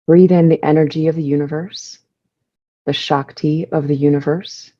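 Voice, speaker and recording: A woman leading a guided meditation, giving soothing instructions in measured short phrases with pauses between them. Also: low-pitched, fairly even intonation, mellow, lo-fi sound.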